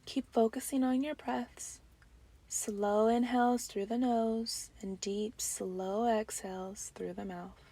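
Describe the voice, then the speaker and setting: Breathy, slow-paced woman guiding meditation. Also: fairly high pitch. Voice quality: soft, breathy, often trailing into near-whisper. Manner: calm, gentle, soothing, with long pauses. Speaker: meditation guide. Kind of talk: guided breathing meditation narration.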